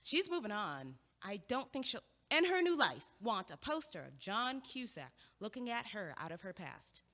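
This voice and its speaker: An actress playing a brisk, lightly teasing student who encourages her neighbor in an audio play. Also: mid-range voice, very animated, bright, forceful tone, long reverb tail. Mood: encouraging.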